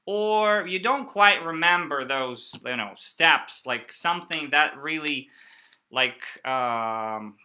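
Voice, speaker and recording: Slow, halting interview host. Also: medium pitch, lively intonation, pushed and bright, a little hiss or hum. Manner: slow, halting questions full of fillers. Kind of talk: conversational interview.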